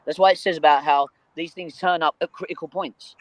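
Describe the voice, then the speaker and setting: A man with a fairly high voice talks in a casual spiritual discussion. He is earnest and emphatic, and often stammers, repeating small words as he builds his point. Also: steady tone, fairly quick, poor audio. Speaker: discussion group participant.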